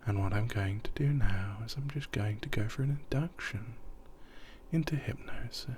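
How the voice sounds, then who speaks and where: A man guiding a sleep hypnosis, talking softly and often half in a whisper, rambling and repeating himself. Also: fairly low pitch, moderate pace, a little hiss or hum.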